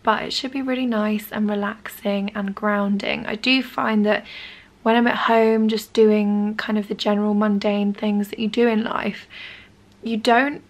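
A young woman in her twenties vlogging to a handheld camera in a small bedroom, chatty and enthusiastic, her voice husky because she has lost it. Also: medium pitch, level tone, a little slow. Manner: chatty, enthusiastic, lots of "really, really". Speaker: lifestyle vlogger. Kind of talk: casual vlog to camera. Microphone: handheld camera at arm's length.

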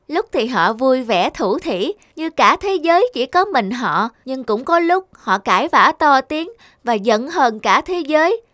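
A woman as high-voiced earnest little cupid. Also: a bit bright, no echo, studio quality, exaggerated voice. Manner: polite, earnest pupil. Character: small earnest child cupid, curious and wide-eyed. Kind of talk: cartoon dubbing.

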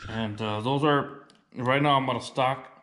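A man in his thirties casually showing his fishing gear as a vlogger, relaxed and plainspoken, saying "y'all". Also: mid-range voice, unhurried, a little hiss or hum. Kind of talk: casual show-and-tell. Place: indoors, then a wooden deck.

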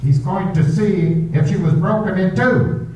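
A man's voice: sits high, unhurried, mellow, a little hiss or hum. A man voicing a casual, offhand local bystander in a 1930s-style radio drama. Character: gossiping local man watching a wreck. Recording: echoey recording from far off with faint music.

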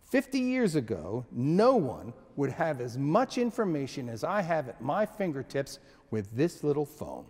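A man in his sixties preaching a sermon in a church sanctuary, slow and measured, with frequent restarts and emphatic pauses. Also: a little high, huge pitch swings, darkish tone, big-room sound. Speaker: pastor.

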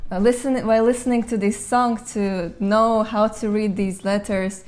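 A woman's voice: medium pitch, steady tone, normal speed, darkish tone. Young Latvian woman answering questions casually. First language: Russian. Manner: thoughtful, light laughs, reads out fan questions. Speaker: language channel host. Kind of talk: casual Q&A to camera. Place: bright room indoors, seated in an armchair. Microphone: lapel mic.

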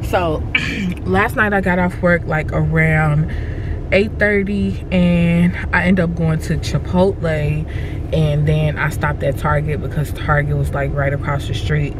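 A young woman chatting casually and informally to her viewers as a vlogger, with emphatic delivery. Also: deep voice. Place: inside a car. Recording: car heater and road noise behind.

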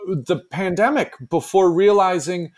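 A man giving casual interview answers as a playwright, halting and self-deprecating as he thinks aloud. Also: high-pitched.

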